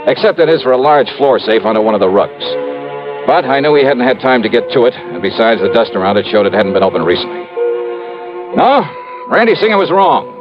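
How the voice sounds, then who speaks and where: An American actor playing a brisk, self-assured male insurance investigator in an old-time radio drama, with clipped, wry delivery. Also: medium pitch, sing-song, natural voice. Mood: brisk, wry narration. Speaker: lead actor and narrator. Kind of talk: old-time radio detective drama, first-person narration. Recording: dull old radio recording with music behind.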